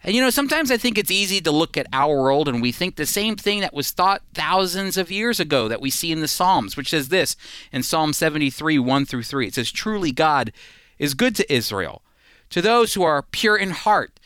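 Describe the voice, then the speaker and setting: A man's voice: a little high, lively intonation, moderate pace, pushed and bright, smooth, no room sound, clean studio sound. Earnest man teaching on radio. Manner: earnest, emphatic teaching with fillers and restarts. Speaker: radio Bible teacher, co-host.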